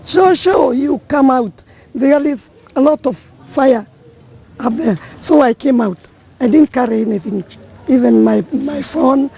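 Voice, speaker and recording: An older woman, hoarse from crying, recounting a night fire in a halting street interview outdoors. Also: sits high, warm tone. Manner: emotional, halting retelling. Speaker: fire victim. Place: outdoors in a crowd.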